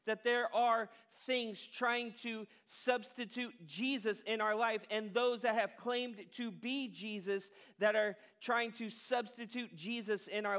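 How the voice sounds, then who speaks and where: A man's voice: high voice, fairly bright tone. A pastor preaching a sermon to his congregation in an earnest, emphatic manner, with falling cadences. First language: English.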